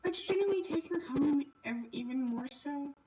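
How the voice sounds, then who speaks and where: A woman's voice: high-pitched, measured pace, distant mic, dull audio, faint music behind, voice pushed up. A woman voicing an eager, stubborn little girl who pleads and insists in a visual novel. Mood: insistent. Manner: excitable, insistent, childlike.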